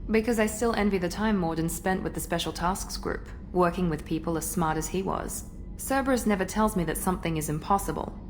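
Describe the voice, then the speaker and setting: A woman's voice: on the low side, brisk, soft background music, natural voice. A woman playing a proud, cool elite operative in a video game, frank and composed. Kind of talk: video game character acting.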